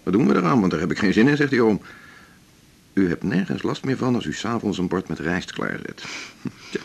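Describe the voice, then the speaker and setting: Opinionated doctor in a radio play, husky deep voice, wry, kinda lectures you. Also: male voice, big pitch swings, fairly quick, full character voice. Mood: anecdotal, knowing. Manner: wry, pontificating. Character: self-assured, opinionated male doctor, an old colleague. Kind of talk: naturalistic Dutch radio drama dialogue.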